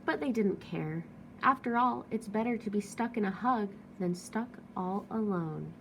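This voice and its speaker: Female storybook reader, mid-range voice, expressive read-aloud narration, audible background noise. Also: mid-range voice, a little slow, creaky, low-quality recording.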